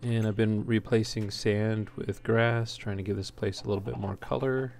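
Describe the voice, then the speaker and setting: A man's voice: on the low side, level tone, moderate pace, a little mellow. A man giving casual, unscripted gameplay commentary as a let's play host, talking in an easygoing, hesitant ramble. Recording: clearly noisy home recording.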